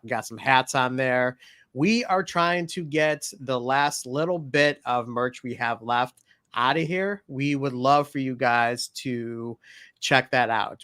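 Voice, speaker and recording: Bright-voiced upbeat man, dry mic. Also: mid-range voice, smooth, no room sound. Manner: upbeat, joking, plugging merch. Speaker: co-host. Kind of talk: casual livestream chat.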